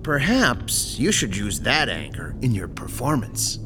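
An actor playing a calm, controlling male crime boss in an audio horror drama, polite and precise with quiet menace. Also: mid-range voice, music in the background. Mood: politely dry. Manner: polite, precise, coolly deliberate.

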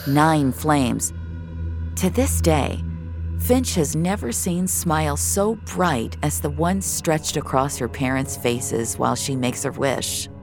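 A woman narrating dramatic audio fiction in a measured, suspenseful storytelling manner. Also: low voice.

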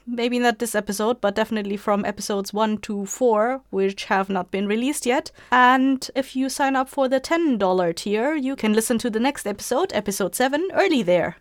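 A woman's voice: on the high side, no echo, studio quality. A woman hosting a podcast, talking in a casual, informal and chatty way. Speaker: podcast creator and host.

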